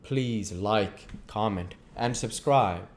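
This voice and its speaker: Man, low pitch, audiobook narration, classic novel reading, channel host. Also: slow pace.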